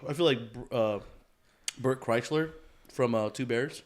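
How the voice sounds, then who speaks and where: A man's voice: mid-range voice, measured pace. A man hosting a podcast in casual, profane, joking chat, full of slangy bro talk. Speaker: podcast host.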